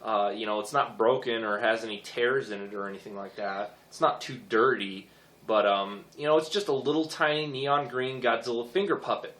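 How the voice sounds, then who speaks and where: A hoarse young man rambling casually with many fillers as he reviews his toy figures. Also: mid-range voice, moderate pace, fairly bright tone, reverberant.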